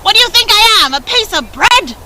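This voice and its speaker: A woman's voice: very animated, brisk, heavy background noise. A woman voicing a sour, spoiled girl who whines shrilly. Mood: whiny. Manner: shrill and whiny.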